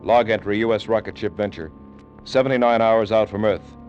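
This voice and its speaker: A man's voice: fairly low pitch, fairly even intonation, fairly quick, lightly acted. Man playing a brooding spaceship captain, vintage radio. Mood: formal, matter-of-fact. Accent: American. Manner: theatrical, ruminative, with clipped official orders. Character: brooding male rocket ship captain, uneasy, poetic, commanding. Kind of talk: old-time radio drama acting. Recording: dull vintage 1950s radio recording. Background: dramatic background music.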